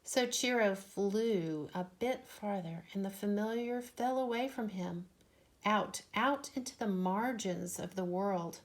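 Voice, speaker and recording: Lady reading a kids storybook out loud, really slow n careful, expressive, medium pitch. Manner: measured, deliberate phrasing. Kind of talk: expressive children's storybook read-aloud.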